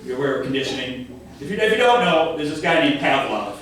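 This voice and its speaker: A man's voice: a little high, big pitch swings, quick pace, a bit bright. A young man from Minnesota with a Minnesotan accent, performing a self-deprecating stand-up comedy set with crowd work. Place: comedy club stage. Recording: echoey club audio from a distance.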